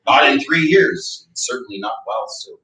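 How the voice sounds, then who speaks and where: An actor in a staged reading playing a bitter, drunken older philosophy professor, pompous and sardonic. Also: male voice, medium pitch, big pitch swings, fairly quick, pushed and bright. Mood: scornful. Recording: echoey room, distant microphone.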